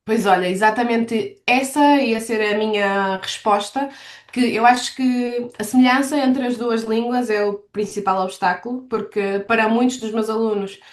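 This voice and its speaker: A woman's voice: a little high, fairly even intonation, moderate pace. A woman with a European Portuguese accent giving conversational interview answers as a language teacher, explaining things and acting out quotes. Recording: roomy, echoey sound.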